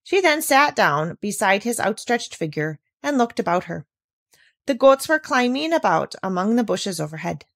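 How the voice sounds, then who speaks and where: A woman reading a classic children's novel aloud, with measured, expressive delivery. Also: mid-range voice, dry recording, studio quality.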